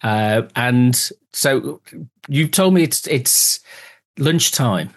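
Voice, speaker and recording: A man's voice: medium pitch, expressive, drawn-out words. A man from the UK hosting a podcast, chatting casually over a video call and stumbling over his words. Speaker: podcast host. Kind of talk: casual interview chat.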